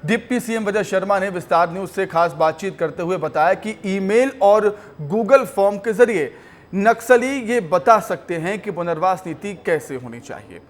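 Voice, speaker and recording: A man's voice: high-pitched, expressive, moderate pace. A man anchoring live television news in an emphatic way, often repeating phrases for stress.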